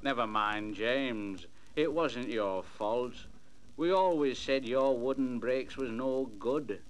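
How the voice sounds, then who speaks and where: A man voicing a patient male engine driver in a storybook, steady and reassuring. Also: medium pitch, takes it slowly, lightly acted. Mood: consoling. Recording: noisy old storybook LP.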